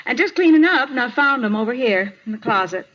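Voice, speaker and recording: A woman's voice: a little high, expressive, fairly quick, fairly bright tone. A woman playing a nosy, self-righteous American manager of a cheap hotel in a 1950s radio drama, folksy and insistent. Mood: bustling. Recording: dull, noisy 1950s radio recording.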